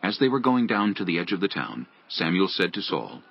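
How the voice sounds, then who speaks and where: A man's voice: low voice, sing-song, brisk, creaky, slightly rough. A man reading scripted dramatic narration for an audio Bible. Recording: dull lo-fi audio with music. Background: background music.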